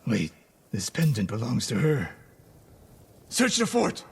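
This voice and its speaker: An actor playing a stern, aging archery master in a video game cutscene, speaking in a clipped, grim way with a gravelly voice. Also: male voice, mid-range voice, huge pitch swings, slow pace, full character voice. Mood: terse.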